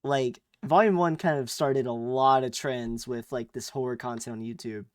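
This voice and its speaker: A man's voice: medium pitch, steady tone, normal speed, clear, resonant tone, dry recording, studio quality. Laid-back young man chatting casually. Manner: laid-back, rambling with fillers and restarts. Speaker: podcast co-host. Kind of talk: casual chat.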